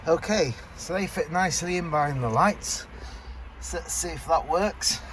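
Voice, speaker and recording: A man's voice: on the high side, moderate pace, a bit bright. Man narrating DIY, noisy background. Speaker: vlogger demonstrating car fixes. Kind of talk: casual hands-on DIY narration. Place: around and inside a car, partly at a desk. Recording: clear background noise from car outdoors.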